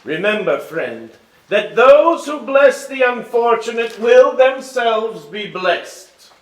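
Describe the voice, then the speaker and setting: A man's voice: very high voice, very animated, slow pace, heavily acted. An actor playing a kindly, weary king in a stage musical reading, speaking formally and earnestly. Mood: warm, solemn. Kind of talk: theatrical stage acting. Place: indoor hall, live staged reading. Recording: roomy live stage pickup.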